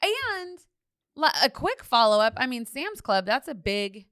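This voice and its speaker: Animated, inquisitive woman podcast co-host. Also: sits high. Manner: inquisitive, animated, quick to laugh and joke. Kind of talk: casual true-crime podcast chat.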